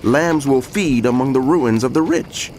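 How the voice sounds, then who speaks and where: Man playing a slow, grave prophet. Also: mid-range voice, a little mellow, a little hiss or hum, natural voice. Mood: grave warning. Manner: grave, emphatic, declamatory. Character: solemn male prophet proclaiming woe and judgment. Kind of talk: dramatized scripture narration.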